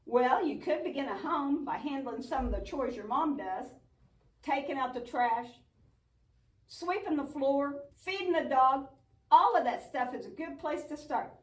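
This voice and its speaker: A woman's voice: high-pitched, lively intonation, unhurried. A woman playing an earnest, patient but bossy young puppet friend in a church show, explaining and chiding. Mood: teasing. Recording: echoey room, distant mic.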